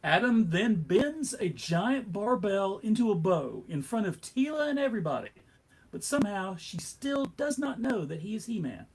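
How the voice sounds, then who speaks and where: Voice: medium pitch, very animated, moderate pace, darkish tone, breathy edge, poor audio. A narrator reading scripted comedic narration in a wry, theatrical manner.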